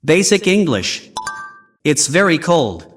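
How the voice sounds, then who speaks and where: A man narrating a language lesson in a slow, clear, announcer-like way. His voice sits a little high and swings widely in pitch. A faint hiss runs underneath. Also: very animated. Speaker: English teacher host.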